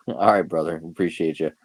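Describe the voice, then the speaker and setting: A man's voice: low voice, poor audio. A man speaking in a laid-back, friendly way in a casual group call. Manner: laid-back, friendly, calls people brother.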